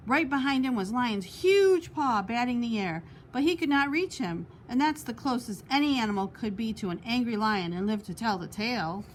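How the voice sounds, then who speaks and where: A woman's voice: on the high side, expressive, normal speed, few pauses, a little hiss or hum. A woman reading a children's picture book aloud expressively, as a storytime host. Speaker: storytime reader.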